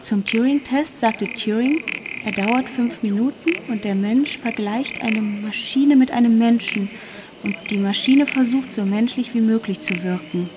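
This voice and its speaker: A woman's voice: fairly high pitch, a little slow, background noise, close to an everyday voice. A woman playing an inquisitive guide who narrates a scripted radio drama. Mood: inquisitive, explanatory.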